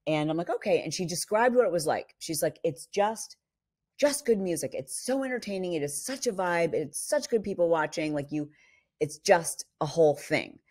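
A middle-aged woman co-hosting a casual podcast, her voice husky from a vocal cord injury, telling stories and acting out quotes. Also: fairly low pitch, normal speed. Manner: animated storyteller, acts out quotes. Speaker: podcast co-host. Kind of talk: casual chat. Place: home studio. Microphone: studio mic on boom arm.

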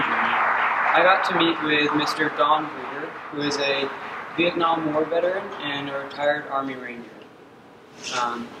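A young man from Uganda giving a senior capstone presentation on a dark stage, earnest and often pausing with ums. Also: on the high side. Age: young adult. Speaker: student presenter. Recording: distant echoey hall with ambient noise.

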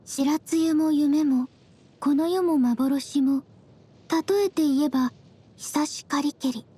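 Young female traveler, very high voice, gentle, composed, polite, quietly teasing, anime game. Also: slow. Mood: gentle, reflective.

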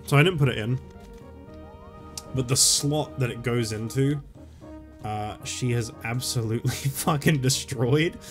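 A man rambling casually and swearing while playing a game, his voice going hoarse. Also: mid-range voice, animated, slow pace, long pauses, soft background music. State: losing his voice. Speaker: gaming YouTuber. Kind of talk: casual let's-play commentary.

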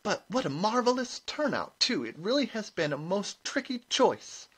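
A narrator voicing a haughty, brittle female witch with a shrill, indignant manner. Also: huge pitch swings, a little fast, breathy edge, full character voice. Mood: falsely bright. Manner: forced brightness, indignant.

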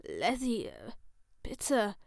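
A woman voicing a young female gang member who speaks softly and pleadingly. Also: fairly high pitch, wildly swooping pitch, drawn-out words, vocal fry, no room sound, studio quality, exaggerated voice. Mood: quiet pleading. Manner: soft, pleading.

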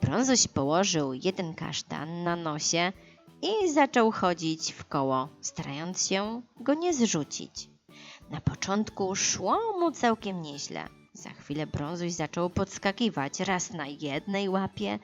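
A woman's voice: fairly low pitch, dull audio. A woman narrating a scripted children's audiobook, telling the story expressively and without hurry. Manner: expressive, unhurried, dramatized for children.

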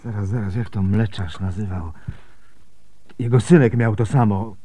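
An actor playing a grieving, weary and bitter former soldier in a radio drama, brooding and dramatic. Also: male voice, lowish voice, normal speed, dark tone, slight background noise, close to an everyday voice. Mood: puzzled.

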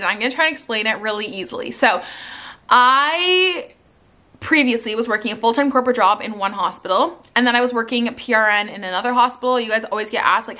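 A woman's voice: on the high side, pushed and bright. A young woman from Canada chatting casually on her vlog, with lots of "like" and "um", sitting on her living room sofa. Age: young adult. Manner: chatty, many "like"s and "um"s. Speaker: vlogger. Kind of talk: casual life-update vlog. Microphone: camera in front, seated.

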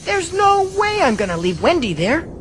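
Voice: extremely high pitch, huge pitch swings, moderate pace, background noise, heavily acted. A performer playing a cocky, boyish flying adventurer in a video game, brash and breezy in manner. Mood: impatient. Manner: brash, breezy, self-assured.

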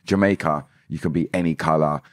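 A man's voice: rumbling low, no room sound. A man, a podcast guest talking animatedly in a casual interview, often restarting words, close to a desk mic in a small studio. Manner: animated, gestures a lot, quick restarts. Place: small studio room indoors.

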